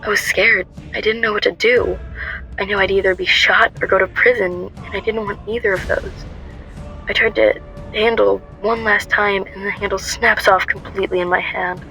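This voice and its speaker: A woman's voice: mid-range voice, fairly even intonation, normal speed, creaky, bright, forceful tone, faint music behind, rough recording. A woman playing a frightened but determined young woman on the run in a first-person radio drama. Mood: tense, scared.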